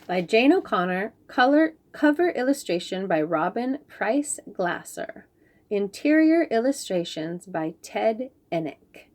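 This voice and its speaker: Slow, expressive woman reading a picture book. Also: medium pitch. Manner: slow, clear and expressive, explaining fancy words. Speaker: children's book reader. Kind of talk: reading a picture book aloud to young children.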